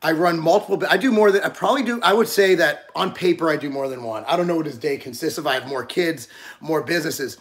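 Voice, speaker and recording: A man's voice: on the high side, quick pace. A man in his forties co-hosting a casual talk show, rambling with frequent restarts. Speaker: podcast co-host. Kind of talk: casual chat.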